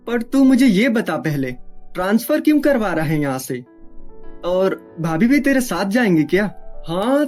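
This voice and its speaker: An earnest, troubled young husband and son in an animated family drama, voiced by a woman. The tone is mellow and dark, with light music underneath. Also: mid-range voice, close to an everyday voice.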